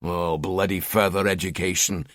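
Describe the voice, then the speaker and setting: A man's voice: mid-range voice, lively intonation, moderate pace, gravelly, no room sound, clean studio sound. A man voicing a cynical middle-aged detective with a Scottish accent, grumbling and blunt.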